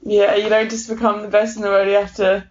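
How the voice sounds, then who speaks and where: A woman's voice: mid-range voice, flat delivery, fairly quick. Young female athlete guest, informal and chatty, level intonation, slightly muffled recording. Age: young adult. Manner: informal, peppered with "like" and "you know". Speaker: interview guest, world champion athlete. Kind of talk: casual chat. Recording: muffled, slightly dull sound.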